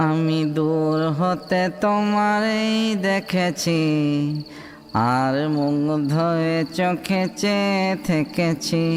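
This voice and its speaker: A man playing a lovesick, henpecked male ghost who pleads and wheedles in a theatrical comic audio drama. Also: high-pitched. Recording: long hall reverb, music underneath.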